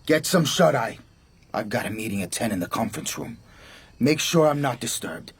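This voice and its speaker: An actor playing a menacing, curt and crude gangster assassin in a radio drama. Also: male voice, medium pitch, lively intonation, normal speed, husky. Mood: commanding.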